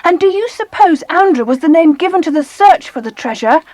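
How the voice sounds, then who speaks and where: High-voiced, breathless, timid little girl. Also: expressive, brisk, a little mellow, dry recording. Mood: excited. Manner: breathless, anxious. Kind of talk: dramatised audiobook acting.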